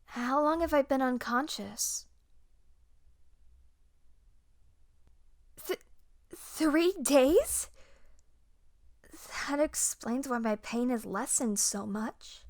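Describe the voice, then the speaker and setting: Woman voicing a young female Jedi survivor, audio drama, soft, hesitant, guilt-ridden, unhurried, dry close sound. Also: mid-range voice, no room sound. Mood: dazed, grateful, then surprised, warm. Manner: soft, hesitant, halting delivery. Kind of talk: dramatic first-person audio roleplay.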